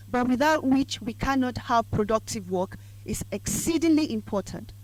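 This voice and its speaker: A woman from Nigeria with a croaky, hoarse voice, giving a formal lecture speech in a measured, emphatic way. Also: fairly high pitch, darkish tone, low-quality recording. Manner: measured and emphatic, building to points. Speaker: guest speaker at a public lecture. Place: lecture event.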